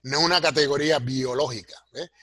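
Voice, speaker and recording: A middle-aged or older man with a Puerto Rican Spanish accent and a husky voice, explaining things like a professor in an interview and punctuating his points with tag questions. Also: medium pitch, fast, a bit bright, dry recording. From Puerto Rico. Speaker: sociology professor, interview guest.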